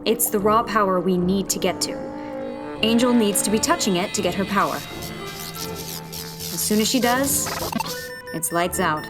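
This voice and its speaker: A woman's voice: mid-range voice, a little slow, long pauses, lightly acted. A woman playing a determined young rebel engineer in a sci-fi audio drama, earnest and taking charge as she explains the plan. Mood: focused. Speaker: rebel leader. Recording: loud music over the voice.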